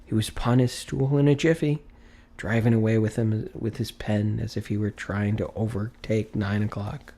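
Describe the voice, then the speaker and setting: Low-voiced male storybook narrator. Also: flat delivery, normal speed, warm tone. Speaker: audiobook narrator. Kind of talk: expressive scripted storybook narration.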